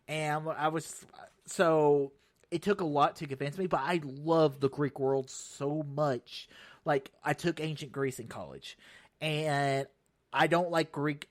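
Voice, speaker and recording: Rambling man chatting about games. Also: fairly high pitch. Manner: rambling, restarts often, animated bursts. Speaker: show host. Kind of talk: casual solo video game chat.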